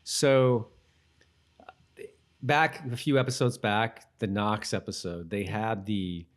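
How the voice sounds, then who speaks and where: A man with a fairly low voice co-hosts a rewatch podcast. He talks in a casual, informal way, often halting as he thinks out loud. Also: fairly even intonation. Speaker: podcast co-host. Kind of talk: casual chat about a TV rewatch.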